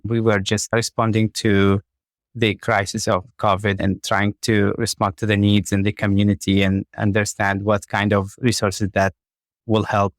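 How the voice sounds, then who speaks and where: Flat, low-voiced man from Iraq. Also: no room sound, studio quality. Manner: halting, with fillers and restarts. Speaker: community navigator. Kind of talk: guest interview.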